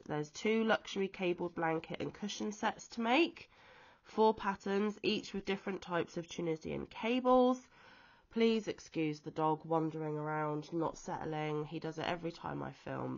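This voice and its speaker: A woman from the UK who is ill and wheezy with a chesty cough, chatting casually off camera about a crochet magazine with frequent pauses and ums. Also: on the low side, dull audio. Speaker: crochet vlogger. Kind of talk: casual show-and-tell chat. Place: at home, off camera.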